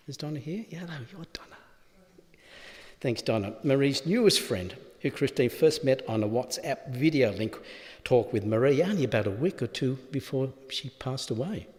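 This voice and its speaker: A man giving a halting, informal thank-you speech at a funeral. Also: medium pitch, a little mellow. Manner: halting, informal, with fillers and asides. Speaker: family member speaking at a funeral. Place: funeral chapel. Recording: long reverb of a large hall.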